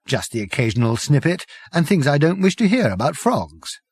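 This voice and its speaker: A man voicing a fussy, put-upon young man, raised in pitch and exasperated. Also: sing-song, normal speed, slightly rough, dry recording, exaggerated voice.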